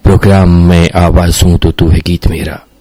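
Deep, dark-toned male announcer. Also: level tone, normal speed, dry recording. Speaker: radio announcer. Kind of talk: scripted station sign-off. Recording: dry, dull phone-like radio sound.

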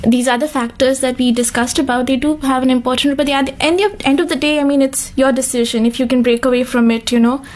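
High-voiced woman thinking aloud on a panel. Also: steady tone, fast talker, few pauses. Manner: hesitant, thinks aloud with fillers. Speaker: panel discussant. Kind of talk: discussion on a panel.

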